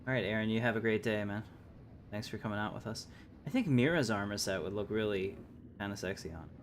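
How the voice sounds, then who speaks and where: Male game streamer, lowish voice, casual, thinking out loud, some background noise. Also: quick pace. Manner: musing aloud, hesitant thinking out loud. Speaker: game livestreamer, host. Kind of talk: casual live gameplay commentary.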